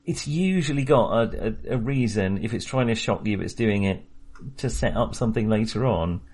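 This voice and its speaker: A man's voice: on the low side, lively intonation, moderate pace, darkish tone, breathy edge. A man co-hosting a casual film podcast, talking with many fillers and restarts. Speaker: podcast co-host.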